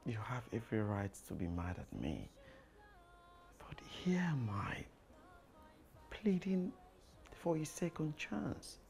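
A man playing a remorseful lover who quietly begs his partner for a second chance, often in a hushed, whispery voice. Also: low-pitched, sing-song, gravelly, full character voice. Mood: pleading. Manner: slow, hushed pleading.

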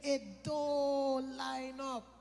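High-voiced preacher in a reverberant hall. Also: male voice, completely flat delivery, extremely slow, vocal fry, darkish tone. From British Virgin Islands. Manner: conversational, joking, repeats phrases for emphasis. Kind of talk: sermon. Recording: long hall reverb with faint music.

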